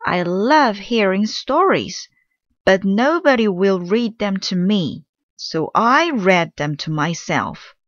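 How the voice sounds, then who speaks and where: A woman's voice: medium pitch, expressive, slow, no room sound, lo-fi sound, pitched above the performer's own voice. A woman voicing a sweet young witch girl from a storybook, speaking in an earnest, pleading way. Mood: earnest confession.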